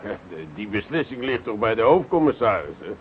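An actor playing a condescending, wry, grumbling police commissioner in a radio drama. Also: male voice, mid-range voice, sing-song, brisk, phone-quality sound, lightly acted. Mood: irritated. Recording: thin, noisy old radio recording.

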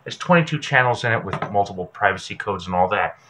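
A man's voice: on the low side, lively intonation, fast talker, pushed and bright, a little hiss or hum. An American man in his thirties giving an informal how-to tutorial to camera in a folksy, jokey, plain-spoken way. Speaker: host of a survival show, instructor. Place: small indoor room with patterned wall.

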